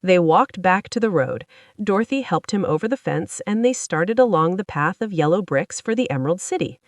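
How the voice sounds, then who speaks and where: Animated low-pitched woman storyteller. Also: normal speed, no echo, clean studio sound. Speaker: storybook narrator. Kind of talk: scripted children's read-aloud narration.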